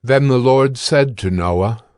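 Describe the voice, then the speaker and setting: A man narrating dramatized scripture from a script in a solemn, measured and formal manner. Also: medium pitch, darkish tone, no room sound, clean studio sound. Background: music.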